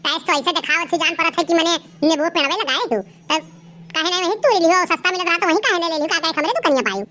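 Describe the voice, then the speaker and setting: A woman's voice: extremely high pitch, rapid-fire, pushed and bright. A woman voicing a stubborn, scolding village vegetable seller in an Awadhi cartoon comedy, with an Awadhi accent. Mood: angry, dismissive. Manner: scolding, argumentative.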